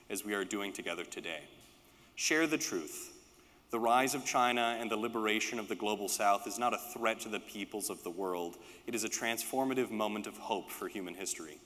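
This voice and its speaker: Low-voiced man speaking in long reverb. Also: fairly bright tone. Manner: emphatic, deliberate phrasing in short rhetorical lists. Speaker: speaker reading a panel address. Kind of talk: scripted political speech.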